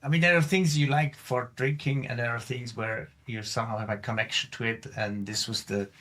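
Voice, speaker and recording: A man's voice: medium pitch, steady tone, a little fast, a bit bright. A middle-aged man with a Swiss accent, a guest in a casual interview, speaking thoughtfully with frequent restarts and repeated words. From Switzerland. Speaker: guest climate scientist.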